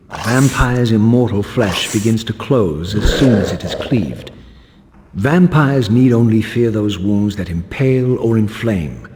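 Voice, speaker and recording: An actor playing a proud, bitter undead vampire turned wraith in a video game, speaking in a brooding, formal, theatrical manner. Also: male voice, fairly low pitch. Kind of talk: dramatic video game narration and dialogue. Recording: loud game ambience behind voice.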